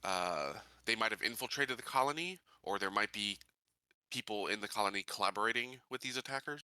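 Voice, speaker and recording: A man playing an earnest, measured investigator in a tabletop sci-fi game, with hesitant, thoughtful, formal phrasing. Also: on the low side, lively intonation, sharp tone, smooth, no room sound. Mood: thoughtful, probing. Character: earnest, measured male investigator in a space colony. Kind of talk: in-character tabletop roleplay dialogue.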